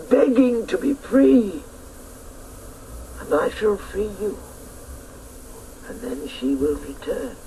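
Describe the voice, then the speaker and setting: An actor in a radio drama playing a stern old spirit, solemn, mocking and portentous. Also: male voice, high voice, gravelly, slight background noise, heavily acted, rough recording. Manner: slow, solemn, portentous delivery.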